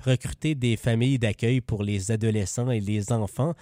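Male newsreader, Quebec French accent, steady reading, dry close studio sound. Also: medium pitch, normal speed, no echo. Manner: relaxed chatty in banter, steady when reading. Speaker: news reporter. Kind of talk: read news report with casual banter.

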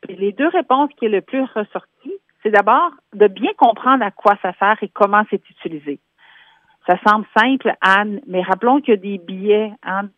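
A woman's voice: medium pitch, moderate pace, no room sound. Québécois woman explaining over a phone line. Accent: Québécois French. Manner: explanatory, gives concrete examples. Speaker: workplace columnist calling in. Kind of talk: radio phone-in commentary. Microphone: telephone. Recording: tinny phone line, dry.

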